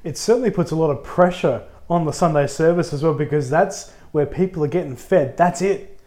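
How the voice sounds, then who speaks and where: A man who is a pastor, co-hosting a casual podcast, a little husky from a cold, upbeat and chatty. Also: fairly high pitch, a little fast, darkish tone. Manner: upbeat, chatty, laughs easily.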